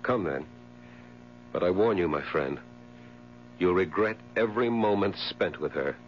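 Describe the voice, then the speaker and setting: An actor in a 1970s radio drama playing a tormented, brooding husband, speaking in a grave, formal, melodramatic way. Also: male voice, sits low, expressive, measured pace. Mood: weary, grim. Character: tormented, brooding husband loathing his dying wife. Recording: muffled 1970s radio recording with hum.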